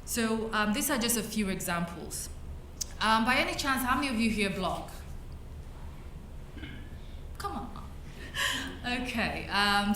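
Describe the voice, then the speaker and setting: A young woman from Cameroon talks a conference audience through her slides, her voice at an ordinary middle pitch. She speaks conversationally, pausing on fillers and restarting phrases as she goes. The sound is roomy and a little distant, with faint hall ambience behind her. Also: sharp tone, slight background noise. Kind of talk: conference talk presenting slides. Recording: echoey hall, mic at a distance.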